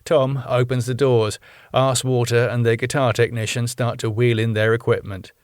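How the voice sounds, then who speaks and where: A man reading aloud his own script, calmly narrating scene directions. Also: medium pitch, normal speed, no echo, clean studio sound. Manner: plain, even script narration.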